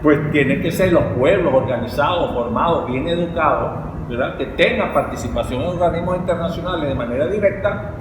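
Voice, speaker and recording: Venezuelan male political speaker, slightly high voice, impassioned and rhetorical, noisy reverberant recording. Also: a little fast. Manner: rhetorical questions, emphatic repetition. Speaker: speaker at a university forum. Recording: echoey hall with clear background noise.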